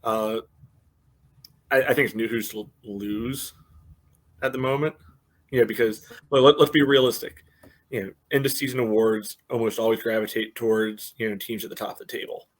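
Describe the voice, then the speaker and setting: A man's voice: fairly low pitch, steady tone, normal speed. Man giving casual sports podcast analysis. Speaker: podcast co-host.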